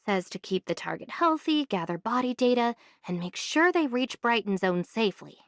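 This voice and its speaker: A woman voicing a practical, inquisitive young female adventurer in an anime game, speaking in a casual, measured way. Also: a little high, sing-song, no room sound, clean studio sound. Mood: curious, focused. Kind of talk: anime video game voice acting.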